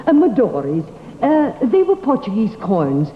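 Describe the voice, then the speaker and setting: An actress playing a formal, officious record keeper, declamatory and halting, in old-time drama style. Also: female voice, medium pitch, huge pitch swings. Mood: solemn, officious. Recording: narrow, echoing, noisy vintage hall recording.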